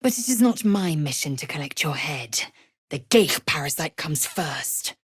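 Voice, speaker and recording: Casting: fierce, proud female alien warrior in a fantasy game. Raspy low register, deliberate pace, stern and imperious. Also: expressive, no echo, clean studio sound, exaggerated voice. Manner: clipped, imperious, formal archaic phrasing. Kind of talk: video game character voice acting.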